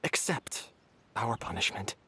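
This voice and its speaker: A remorseful youth in a video game drama. The delivery is slow and resigned yet moves widely in pitch, over faint rumbling noise. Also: male voice, big pitch swings, sharp tone, exaggerated voice. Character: remorseful, resigned young rule-breaker.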